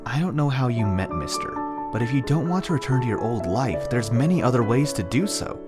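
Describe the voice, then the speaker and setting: A man playing an easygoing, caring young man in an anime fan dub, reasoning gently in a conversational way. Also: mid-range voice, animated, a little fast, close to an everyday voice. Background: music.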